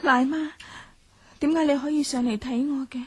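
A woman's voice: sits high, fairly even intonation, moderate pace, darkish tone, natural voice. A young woman playing a bitter, wounded young lady of a rich household in a radio drama, with a Hong Kong Cantonese accent. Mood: weak, puzzled.

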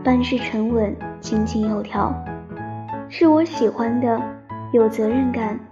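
A woman playing a young, romantic, dreamy student in a radio drama, telling her love story in a soft, sentimental, unhurried way. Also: fairly high pitch, lightly acted. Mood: tender, wistful. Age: young adult. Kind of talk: radio drama narration and dialogue. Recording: dull lo-fi recording with piano music. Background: piano music.

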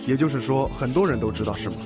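Guy acting calm methodical police detective, formal and probing, average pitch, music in background. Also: fast talker, natural voice. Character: methodical, composed police detective questioning a family. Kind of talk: radio drama acting.

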